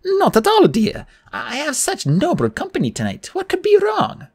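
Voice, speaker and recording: A woman's voice: high-pitched, wildly swooping pitch, normal speed, no echo, studio quality, voice pushed up, exaggerated voice. A woman voicing a sinister old female monster in a storybook, coaxing and purring with a snarl underneath. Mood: falsely sweet. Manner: coaxing purr that breaks into snarls.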